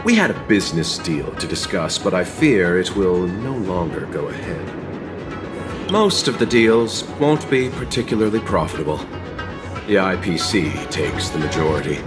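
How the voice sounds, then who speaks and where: A soft-spoken, courteous young merchant from a fantasy video game. His voice sits low and moves slowly, with a lilting, varied melody. He stays composed and measured throughout, over prominent background music. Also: very animated. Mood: composed, polite. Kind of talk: video game cutscene dialogue.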